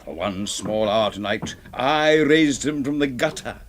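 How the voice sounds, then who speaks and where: An actor playing a huge, pompous, drunken pub orator, florid and mocking, in a theatrical radio drama style. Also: male voice, mid-range voice, expressive, a little slow, slight background noise, natural voice. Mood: scornful boasting. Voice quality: theatrical, declamatory delivery.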